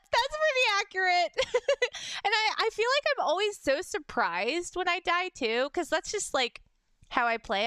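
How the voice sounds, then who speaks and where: A young adult woman with a high-pitched voice, chatting casually on a livestream and reading fan messages, bubbly and giggly. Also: pushed and bright, no room sound, studio quality. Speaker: VTuber streamer.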